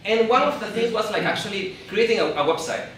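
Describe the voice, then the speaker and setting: A man's voice: high-pitched, lively intonation, a bit bright, far from the mic, slight background noise. Peruvian man talking on stage in an echoey hall. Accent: Peruvian Spanish. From Peru. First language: Spanish. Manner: halting, repeats and restarts words, laughs along with the crowd. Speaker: event speaker. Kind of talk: live stage talk to an audience. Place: event hall.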